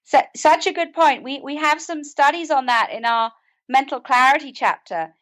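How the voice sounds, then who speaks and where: A woman's voice: high voice, normal speed, fairly bright tone. A British woman speaking conversationally as an interview guest, explaining things in an earnest way. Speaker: podcast guest, author and mental health advocate.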